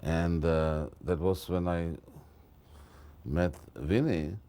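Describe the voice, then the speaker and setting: A film director from Czechia with a Czech accent, speaking halting English as he recalls casting. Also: deep voice, steady tone, mellow, smooth. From Czech Republic. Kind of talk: retrospective interview.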